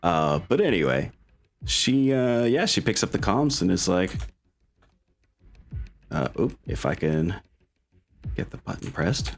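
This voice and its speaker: Deep-voiced man running a tabletop game. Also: sing-song, a little slow, creaky, long pauses. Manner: informal, swearing. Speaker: game master. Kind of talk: casual tabletop game narration.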